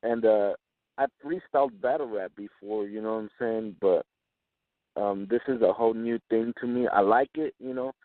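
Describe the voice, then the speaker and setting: A man's voice: lowish voice, moderate pace, no room sound, phone-quality sound. A man in his twenties from Mexico, a native Spanish speaker, chatting casually and talkatively in slangy English as an interviewed rapper. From born in Chihuahua, Mexico; lives in West Texas. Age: 20s. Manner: slangy, talkative, frequent "man" and "you know what I'm saying".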